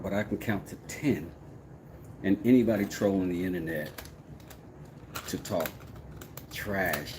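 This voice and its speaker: A man with a very low voice, hosting a casual live stream, trash-talking his critics and rambling about woodworking. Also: slight background noise, poor audio. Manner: brash, trash-talking, then rambling and relaxed.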